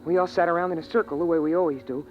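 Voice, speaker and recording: Earnest young boy played by a man, higher-pitched, homespun storyteller of a bleak world, vintage radio sound with music. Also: fairly even intonation, rapid-fire, dull audio, lightly acted. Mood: reflective. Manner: plain, homespun, boyish phrasing. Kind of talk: 1950s radio drama acting and first-person narration.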